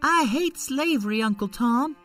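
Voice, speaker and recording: Woman voicing a high, upset young boy. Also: animated, a little slow, a bit bright, soft background music, heavily acted.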